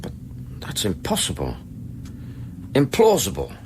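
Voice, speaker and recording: An actor playing a sober, suspicious investigator in a radio play, pressing with short, clipped questions. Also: male voice, sits low, wildly swooping pitch, unhurried, darkish tone, slight background noise, heavily acted. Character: probing, insistent male investigator, sober and suspicious. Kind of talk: radio drama acting.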